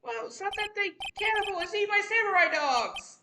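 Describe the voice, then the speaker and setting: A woman voicing an excitable, whiny teenage girl sidekick in a visual novel game. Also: very high-pitched, normal speed, far from the mic, slight background noise, voice pushed up, heavily acted. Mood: upset, dramatic. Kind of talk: visual novel read-aloud.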